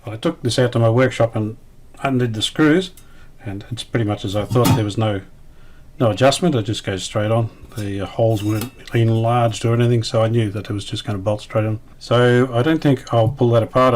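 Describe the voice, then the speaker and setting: Male restorer, lowish voice, casual how-to commentary, tentative thinking-aloud delivery. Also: fairly even intonation, a little fast, a little mellow, slight background noise. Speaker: radio restorer presenting a repair series.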